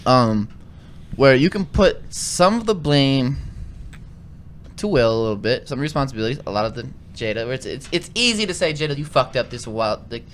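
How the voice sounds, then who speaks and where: A man's voice: medium pitch, very animated, moderate pace. A man co-hosting a podcast, giving opinions in casual, blunt, slangy talk with some swearing. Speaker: podcast co-host. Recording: noticeable background noise, room ambience.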